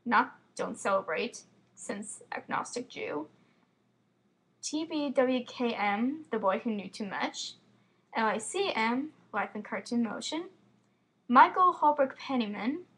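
Slow, gravelly teen American girl. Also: sits high, fairly bright tone, low-quality recording. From Florida, United States. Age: teens. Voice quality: slight lisp. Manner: quick, chatty, rambling with many fillers. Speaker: fan vlogger. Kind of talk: casual webcam chat. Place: home room with bookshelves, indoors. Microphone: built-in computer webcam mic.

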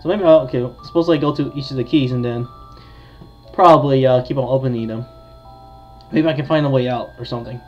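A man's voice: mid-range voice, fast, pauses often. Male gamer, casual, thinks aloud for viewers; mid-range voice, lo-fi sound with game music behind. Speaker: gamer, video host. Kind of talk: casual gameplay commentary of a horror game.

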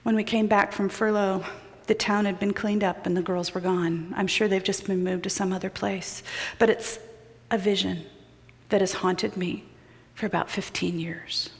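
A woman's voice: mid-range voice, fairly even intonation, normal speed. Woman preaching in a reverberant sanctuary. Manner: storytelling, swings from loud urgency to hushed near-whispers. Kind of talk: sermon with personal stories. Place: large church sanctuary with choir loft. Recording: long hall reverb of a large sanctuary.

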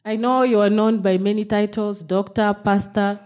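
A woman's voice: medium pitch, level tone, moderate pace, darkish tone. A woman hosting a studio interview, speaking formally and courteously. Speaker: interview host.

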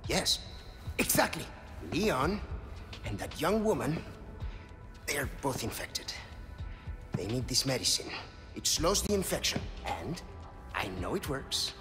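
Impassioned, expressive scientist. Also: male voice, mid-range voice, a little slow, pauses often, faint music behind. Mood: earnest, pleading. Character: impassioned charming male scientist, anguished and restless. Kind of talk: video game cutscene acting.

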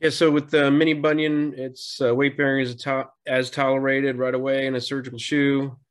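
Easygoing male surgeon with a flat voice. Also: mid-range voice, moderate pace. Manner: relaxed, hedging with "you know" and "kinda". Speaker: surgeon panelist. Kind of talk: clinical explanation. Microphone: video call webcam.

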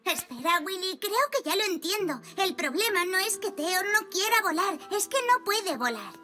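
Very high little girl bee. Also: very animated, normal speed, sharp tone, exaggerated voice. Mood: reassuring. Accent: Castilian Spanish. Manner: eager, reassuring. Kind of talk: animated cartoon dub acting.

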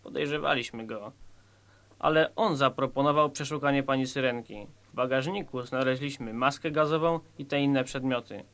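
A man's voice: medium pitch, normal speed, a bit bright, close to an everyday voice. A man acting a stern, smug police commissioner in a satirical radio play, curt and sardonic. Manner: curt, sardonic, self-assured.

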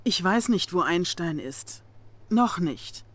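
A woman's voice: fairly low pitch, lively intonation, slow. An actress playing a young female Soviet officer in a game cutscene, controlled and authoritative. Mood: controlled, commanding. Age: young adult. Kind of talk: video game cutscene acting.

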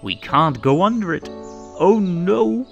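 A man reading a children's story aloud in a theatrical, rhythmic and expressive way. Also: high voice, takes it slowly, vocal fry. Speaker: storybook narrator. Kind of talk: animated children's read-aloud. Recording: music under the voice. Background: music.

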